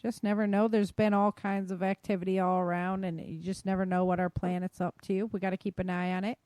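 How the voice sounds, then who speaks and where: A woman's voice: medium pitch, little pitch movement, a little fast, darkish tone, clean tone, no room sound. An American woman hosting a podcast in an informal, chatty, self-deprecating way, talking through the news unscripted. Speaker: podcast host. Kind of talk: casual unscripted chat about news.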